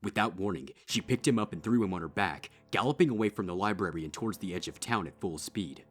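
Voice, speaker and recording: Casting: male storyteller with a profoundly deep voice for scripted horror narration over soft music. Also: expressive, fast. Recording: music behind.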